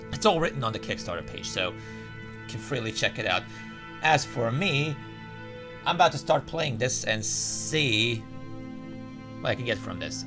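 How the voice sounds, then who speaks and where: A man hosting a let's play, chatting casually and reading the game's text aloud. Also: mid-range voice. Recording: music behind the voice. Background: game's guitar music.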